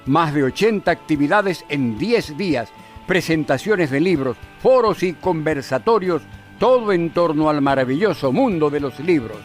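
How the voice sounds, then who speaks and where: A man's voice: a little high, lively intonation, moderate pace, soft background music. A man reading a scripted promotional announcement for radio. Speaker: advertisement announcer.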